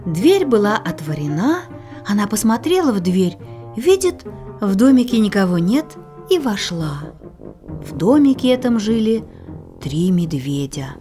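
A woman narrating a children's fairy tale in an expressive, sing-song, deliberate storytelling manner. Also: mid-range voice, over music.

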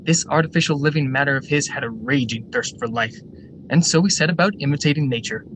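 An actor playing a brisk, eager factory general manager in a radio drama, formal and articulate. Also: male voice, lightly acted. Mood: eager storytelling. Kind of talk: stage-style radio drama acting. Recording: noisy radio drama recording, steady rumble.

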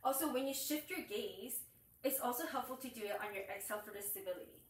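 A woman's voice: a little high, lively intonation, quick pace, slight background noise. A young woman teaching yoga, explaining breath and cueing poses while she demonstrates them. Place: small room with curtains and yoga mat, indoors. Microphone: far camera. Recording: echoey room, far from mic.

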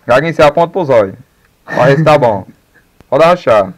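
A man's voice: expressive, fairly quick. A man chatting casually and slangily as a motovlogger. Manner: informal, slangy. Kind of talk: casual vlog chat. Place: riding a motorbike on rural dirt roads, later a dim room. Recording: noisy action-camera audio, distant voice, motorcycle rumble.

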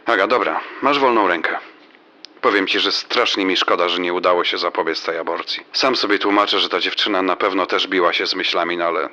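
A man's voice: sits low, fairly quick, pushed and bright. An actor playing an easygoing, conciliatory middle-aged father in a naturalistic audio drama.

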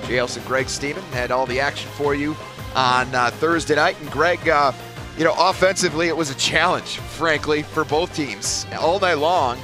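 Man, 50s, sports announcer, headset mic, fairly high voice, arena desk, music bed. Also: moderate pace. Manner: steady broadcaster delivery with occasional fillers. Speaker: play-by-play announcer. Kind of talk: postgame recap commentary. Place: courtside broadcast desk in an arena.

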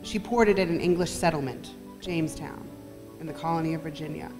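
Low-voiced woman reading poetry aloud. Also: brisk, music in the background, rough recording. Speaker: poetry reader. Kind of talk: reading poems aloud at an event. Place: library meeting room, at podium. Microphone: podium gooseneck microphone.

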